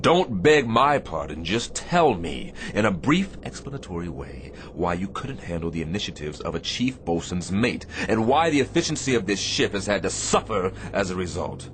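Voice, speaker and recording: An actor playing a stern, demanding but caring Navy captain in a radio drama, with a commanding, sardonic delivery. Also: male voice, low voice, big pitch swings, fairly bright tone. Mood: angry, scolding. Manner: commanding, sardonic, deliberate phrasing. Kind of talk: radio theatre acting. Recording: clear background noise, shipboard ambience.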